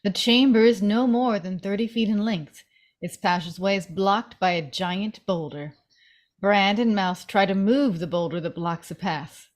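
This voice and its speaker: A woman's voice: medium pitch, moderate pace. Female narrator for a table read: mid-range voice, reading scene directions.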